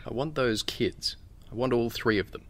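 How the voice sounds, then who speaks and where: A man's voice: medium pitch, normal speed, close to an everyday voice. A man acting a bitter, sardonic, desperate new father in an audio drama, with dry sarcasm.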